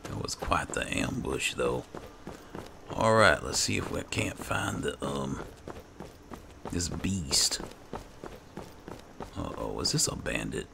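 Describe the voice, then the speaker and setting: A man giving roleplay game commentary and reading item text aloud, muttering to himself in an unhurried way. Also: extremely low pitch, very animated, creaky, noisy background. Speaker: gaming video host. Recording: game music behind voice. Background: video game music.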